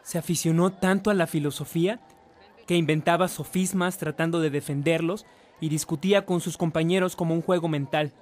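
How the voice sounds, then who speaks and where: A man's voice: fairly high pitch, fairly even intonation, fairly quick, a little mellow, close to an everyday voice. A man narrating a scripted radio drama, telling the life story of a saint. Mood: measured narration.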